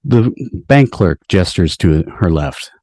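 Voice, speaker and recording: A man's voice: low voice, sing-song, slow, a little mellow, no room sound, studio quality. A man reading scripted stage directions at a table read, recorded over a video call. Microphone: video call microphone.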